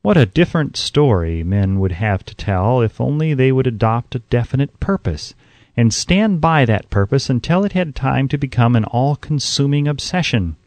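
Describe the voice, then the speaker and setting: A man narrates an audiobook with an animated, widely moving pitch. His phrasing is measured and emphatic, set at a middle pitch. The sound is dry and close, with no room around the voice. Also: dry recording.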